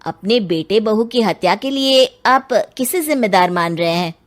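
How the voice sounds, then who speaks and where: A woman's voice: medium pitch, lively intonation, moderate pace, natural voice. A woman voicing an earnest male police inspector in a dramatised story, asking questions in a formal, respectful way. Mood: probing, polite. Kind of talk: scripted dramatised story dialogue.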